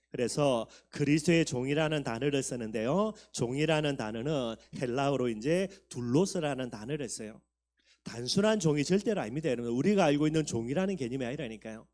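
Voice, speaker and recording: A man's voice: mid-range voice, a little mellow. A man in his fifties, a pastor preaching a sermon, talking earnestly to the congregation and building up for emphasis. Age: 50s.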